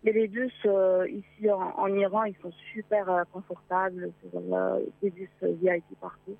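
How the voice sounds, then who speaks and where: A woman's voice: mid-range voice, level tone, moderate pace, creaky, no room sound. A woman from Morocco, calling a radio show by phone from inside a parked car and talking hesitantly with many fillers. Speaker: caller, travel blogger. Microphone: mobile phone. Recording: tinny phone line.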